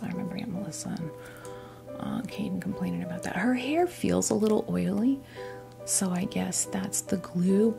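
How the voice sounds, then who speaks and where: A woman hosting an ASMR doll unboxing, chatting casually and softly to camera, gushing over small details in an unhurried way. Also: mid-range voice, gravelly.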